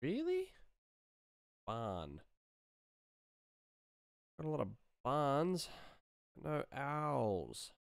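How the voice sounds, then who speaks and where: A man's voice: mid-range voice, wildly swooping pitch, very slow, gravelly, long pauses, clear, resonant tone, no room sound. A man in his thirties giving casual livestream commentary as he works, muttering in a laid-back way into a close microphone. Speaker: sound designer streaming his work. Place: small room indoors. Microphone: close studio microphone.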